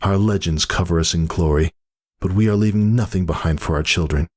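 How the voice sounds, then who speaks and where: A man's voice: low voice, a little fast, darkish tone, pitched above the performer's own voice. A man voicing a weary but defiant pegasus mother, speaking earnestly in a dramatic story reading. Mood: earnest, proud. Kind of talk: dramatic fan fiction reading.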